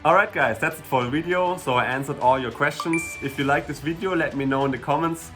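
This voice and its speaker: A young man from Germany with a German accent, a sprinter and hurdler answering viewer questions in a relaxed, chatty way, full of "you know" and "like". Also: fairly high pitch, a little fast, over music. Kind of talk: casual Q&A vlog.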